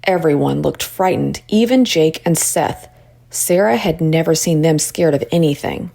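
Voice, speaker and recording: A woman reading a children's story aloud as an expressive narrator. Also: low voice, moderate pace, creaky. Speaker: storybook reader.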